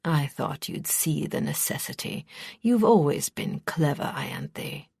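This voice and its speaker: A woman voicing a cold, cruel, commanding high prince and father in an audiobook, speaking with soft menace. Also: expressive, slow pace, gravelly, husky, no echo, studio quality, heavily acted. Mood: quiet menace.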